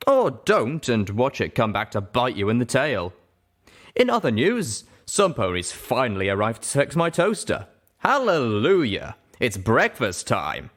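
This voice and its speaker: A man voicing a brash, folksy, upbeat pony radio DJ who announces the news with drawn-out, punchy showmanship. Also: medium pitch, a bit bright, voice pushed up.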